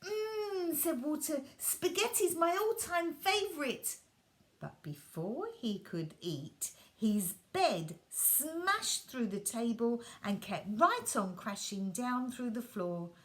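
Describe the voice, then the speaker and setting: Slow, expressive woman reading a bedtime story. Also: fairly high pitch.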